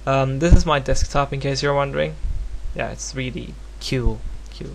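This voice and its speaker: A man's voice: mid-range voice, normal speed. Norwegian-accented man, hesitant, noisy home recording. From Norway. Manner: hesitant, rambling, self-deprecating. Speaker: tutorial presenter. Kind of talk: casual, unscripted screen-recorded software tutorial. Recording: home recording with clear background noise and hum.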